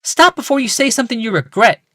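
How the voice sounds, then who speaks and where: A man's voice: sing-song, fast, bright, forceful tone, dry recording, studio quality, full character voice. A man voicing a patient but exasperated wife in an audiobook, firm and pleading. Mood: indignant. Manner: high, pleading, strained patience.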